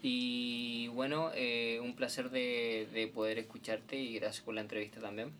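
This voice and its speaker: Casting: man, band singer being interviewed, medium pitch, informal, repetitive searching phrasing, faint noise. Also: flat delivery, unhurried, a bit bright. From Chile. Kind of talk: casual interview chat.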